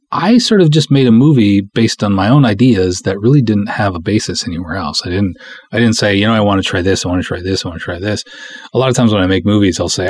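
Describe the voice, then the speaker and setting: A man hosting a podcast in a casual, rambling, enthusiastic way, with emphatic delivery and a husky voice. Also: on the low side, a little mellow. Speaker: podcast host and independent filmmaker. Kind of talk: casual solo podcast talk.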